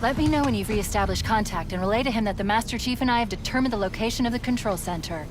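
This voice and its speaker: A woman voicing a brilliant, proud female AI in a game cutscene, quick and analytical with a condescending edge. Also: mid-range voice, background noise, close to an everyday voice.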